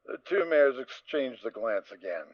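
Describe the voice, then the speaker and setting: A man narrating a fan audio drama in an expressive storytelling manner. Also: mid-range voice, moderate pace, dry recording. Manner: expressive reading. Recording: dull, dry lo-fi recording.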